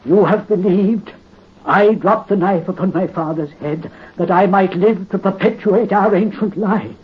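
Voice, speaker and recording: Dying count, commanding, bitter, slightly high voice, 1930s radio play. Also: male voice, a little slow. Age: 50s. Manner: weak but commanding, imperious. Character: dying old French count, bitter and vengeful. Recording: dull, faintly hissy 1930s radio recording.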